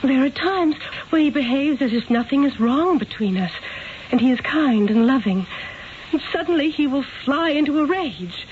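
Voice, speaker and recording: An actress in an old-time radio drama playing a gentle, anxious aristocratic young wife, earnest and theatrical, with formal diction. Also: female voice, high-pitched, animated, normal speed, phone-quality sound. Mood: fearful confiding. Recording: thin, hissy old radio broadcast.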